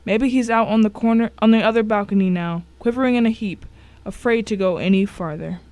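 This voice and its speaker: A woman's voice: mid-range voice, brisk, a little mellow, no echo, natural voice. Woman acting as grim thriller narrator. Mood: grim, tense. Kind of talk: dramatic first-person story narration.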